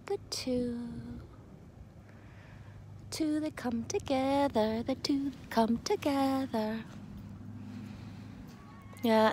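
A woman's voice: fairly high pitch, darkish tone. A woman musing dreamily and casually to a phone outdoors, swearing freely. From Canada. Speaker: vlogger. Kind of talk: rambling, musing casual chat. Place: outdoors on a lakeside beach. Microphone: handheld phone. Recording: outdoor phone recording with faint noise.

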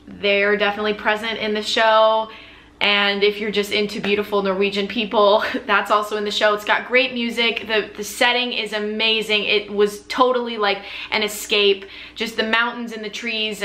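Bright-voiced young American woman vlogging. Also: mid-range voice. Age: young adult. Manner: chatty, enthusiastic, emphatic. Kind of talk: casual chat to camera, sharing recommendations. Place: living room at home. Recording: roomy indoor echo.

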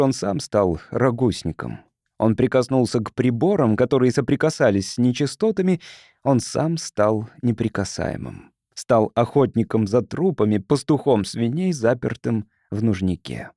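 A man's voice: medium pitch, lively intonation, measured pace, warm tone, no echo, clean studio sound. A man reading science fiction as a scripted audiobook narrator.

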